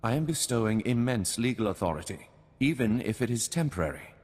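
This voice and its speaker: An actor playing a composed noble lord in a video game, formal and diplomatic, with measured authority. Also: male voice, mid-range voice, lively intonation, darkish tone, breathy edge, long reverb tail. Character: composed noble house lord, courteous but commanding. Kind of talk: scripted video game drama.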